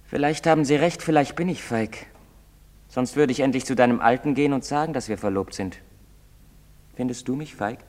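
An actor playing an anxious, brooding young outcast in love in a stage drama, speaking haltingly. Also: male voice, fairly low pitch, vocal fry, a little mellow. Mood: anxious, bitter. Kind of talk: stage-drama acting in German. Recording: very reverberant hall sound.

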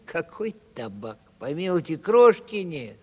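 An actor playing a plaintive, cheeky vagabond provincial comic in a 1970s audio stage drama, telling things animatedly. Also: male voice, fairly high pitch, very animated, takes it slowly, slightly rough, full character voice. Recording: reverberant hall-like studio recording.

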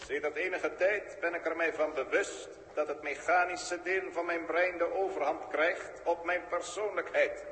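An actor playing a cold, formal ship-brain that is part human and part machine, speaking in stiff, old-fashioned and measured sentences in a 1960s radio play. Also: male voice, on the high side, a bit bright, roomy sound, big-room sound, poor audio. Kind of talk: 1960s radio drama acting.

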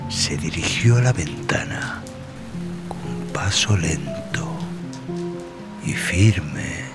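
A man giving a grave, dramatic scripted horror narration, with phrases that often sink into a breathy hush. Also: rumbling low, animated, very slow. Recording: voice over loud music and rain.

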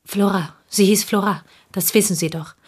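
A woman's voice: medium pitch, fairly even intonation, moderate pace, a little mellow, breathy edge, close to an everyday voice. A woman playing an earnest, loyal confidante in a radio play, formal and respectful yet firm and reproving. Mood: earnest, admiring.

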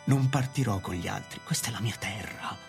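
An actor playing a resolute, nostalgic town official in a radio drama, speaking with solemn deliberation. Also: male voice, lowish voice, brisk, creaky. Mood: wistful, haunted. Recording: radio play with music behind. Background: music.

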